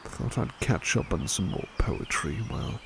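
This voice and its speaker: A man voicing a doting butler in a soft-spoken ASMR roleplay, talking gently and soothingly with hesitant restarts. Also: low voice, very animated, moderate pace, creaky, noisy background.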